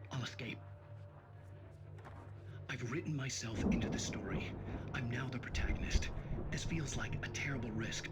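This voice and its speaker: A man's voice: mid-range voice, lightly acted. A male actor playing a haunted, desperate horror writer in a video game, delivering a grave, intense monologue with grim determination. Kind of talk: dramatic video game narration. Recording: voice under loud music.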